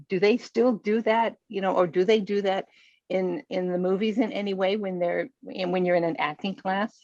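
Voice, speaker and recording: A woman's voice: lowish voice, a little mellow, no room sound. An older woman telling casual anecdotes over a group video call. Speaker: audience member asking a question. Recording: dull lo-fi video call audio.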